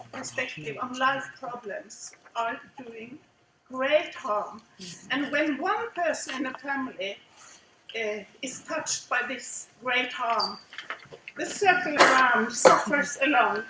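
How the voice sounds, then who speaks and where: Impassioned woman speaking in an echoey hall. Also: high-pitched. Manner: earnest, grieving, defiant. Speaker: conference participant. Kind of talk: impassioned comment from the floor. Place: conference hall. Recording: echoey hall from far off, noisy.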